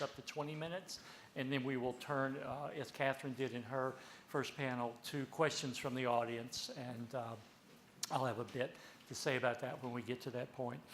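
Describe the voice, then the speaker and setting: Male moderator at a university panel. Measured, courteous delivery, faint background hiss. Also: mid-range voice, fairly bright tone. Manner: measured, polite, with fillers. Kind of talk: formal panel introductions.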